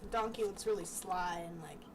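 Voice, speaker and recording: Far off mic w noise, young woman w higher voice reading out loud casually, giggly side comments. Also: brisk. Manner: giggly, breaking off into asides. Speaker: amateur vlogger reading childhood books aloud. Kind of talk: casual chatty read-aloud with asides. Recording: distant mic with clear background noise.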